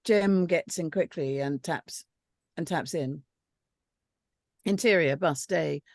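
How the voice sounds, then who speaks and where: A woman narrates stage directions from a script. Her pitch is a little low for a woman. The recording is very dry, with hardly any room sound. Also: takes it slowly, a little mellow, no room sound. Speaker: script panel reader reading stage directions.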